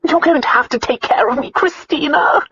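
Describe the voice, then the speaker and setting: A woman's voice: on the high side, big pitch swings, moderate pace, fairly bright tone, breathy edge, dull audio, pitched above the performer's own voice, exaggerated voice. A woman voicing a greedy, self-pitying older countess in an audiobook, wailing and whining in indignation. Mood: screeching whine. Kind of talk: audiobook character acting.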